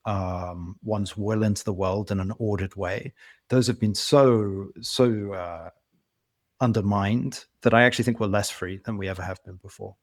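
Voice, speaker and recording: A man's voice: on the low side, steady tone, normal speed, no room sound, studio quality. A British man from London, an author being interviewed, reasoning aloud at length in an earnest, conversational way. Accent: British English. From England (London). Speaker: author guest.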